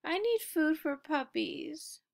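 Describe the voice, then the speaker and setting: A woman voicing a hungry, food-loving little female puppy in a storybook, with expressive, emphatic delivery. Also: high voice, slow pace, gravelly, pitched above the performer's own voice, heavily acted. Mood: pleading.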